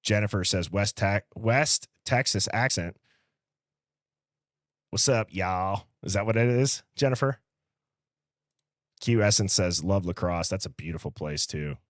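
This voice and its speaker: Livestream host: American male, early thirties, low voice, close dry sound, casual and conversational. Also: expressive, a little slow, pauses often, no room sound. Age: early 30s. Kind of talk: casual live-stream chat, reading out viewer comments.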